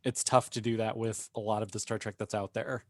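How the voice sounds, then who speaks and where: A man's voice: fairly low pitch, flat delivery, brisk, no echo, clean studio sound. A man chatting casually as a panelist on a fan talk show. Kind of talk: casual chat.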